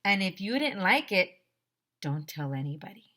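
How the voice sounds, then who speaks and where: A woman's voice: mid-range voice, sing-song, normal speed, background noise. A woman teacher reading a children's story expressively and chatting directly to young listeners. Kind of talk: expressive children's story read-aloud and chat.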